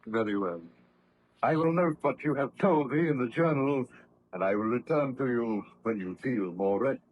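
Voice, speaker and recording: An actor playing a courteous, composed ship's captain, speaking politely and formally in old radio drama style. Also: male voice, medium pitch, moderate pace, highs cut off, slight background noise, lightly acted. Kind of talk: 1930s radio drama acting.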